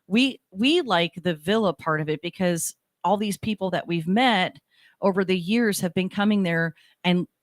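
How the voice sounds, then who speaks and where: A woman's voice: fairly low pitch, normal speed, no echo, clean studio sound. A middle-aged woman co-hosting a radio talk show, chatting in a rambling way and often restarting her sentences. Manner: rambling, self-interrupting storyteller. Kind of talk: casual chat. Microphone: studio microphone.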